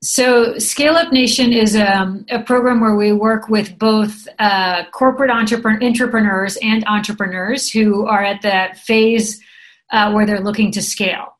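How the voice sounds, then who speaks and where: A middle-aged woman with an American accent chats through an interview, upbeat and explanatory. Her voice sits at an everyday middle pitch, unhurried and friendly in tone. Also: fairly even intonation, poor audio. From San Francisco, USA. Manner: chatty, upbeat, explains with examples. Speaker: guest, program director. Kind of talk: conversational interview answers.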